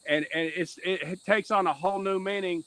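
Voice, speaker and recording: A man's voice: high voice, fairly even intonation, fairly quick, fairly bright tone. A man speaking earnestly as a podcast guest and mentor, with emphatic, repetitive, streetwise phrasing. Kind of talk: earnest personal testimony in conversation.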